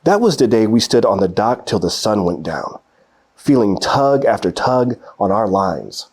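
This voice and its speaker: A man reading a children's picture book aloud in an expressive storytelling style. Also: lowish voice, measured pace, slightly rough.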